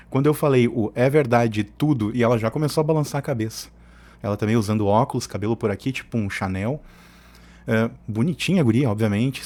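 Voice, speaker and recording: A man's voice: mid-range voice, a little mellow. A man giving informal, opinionated political commentary as a channel host, often digressing in colloquial speech. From southern Brazil.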